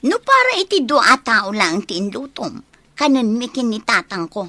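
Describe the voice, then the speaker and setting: Woman acting cheeky daughter, high voice, sharp tone, Ilocano accent, assertive, comedy radio drama. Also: big pitch swings, normal speed, no echo. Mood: sassy, demanding. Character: sassy, assertive young daughter talking back to father.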